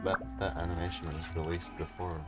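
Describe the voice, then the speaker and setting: A man's voice: deep voice, little pitch movement, brisk, over music. A man making casual remarks as he watches a video game being played. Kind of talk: casual chat.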